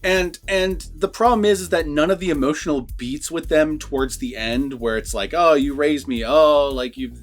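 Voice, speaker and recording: A man with a slightly high voice talks through a film review in a casual, conversational way. He swears freely and repeats words for emphasis. Music plays behind him. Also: normal speed, few pauses. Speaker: movie reviewer.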